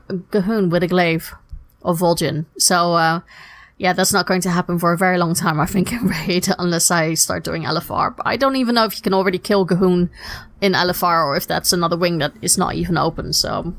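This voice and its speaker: A young woman chats her way through a casual solo podcast. Her voice is slightly husky from a lingering illness, and she rambles along with lots of ums and quick self-corrections. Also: medium pitch, fairly bright tone. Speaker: podcast host.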